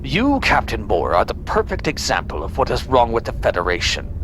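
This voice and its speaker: An actor playing a cold, bigoted, tyrannical space admiral in a sci-fi audio drama, condescending and domineering. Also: male voice, a little fast. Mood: angry contempt. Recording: steady background rumble.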